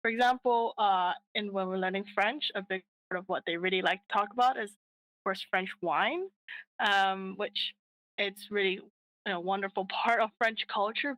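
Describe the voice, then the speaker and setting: A woman's voice: medium pitch, fairly bright tone, poor audio. Earnest woman co-founder explaining her work. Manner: earnest, frequent fillers and restarts. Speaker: app co-founder, guest. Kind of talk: casual interview answers.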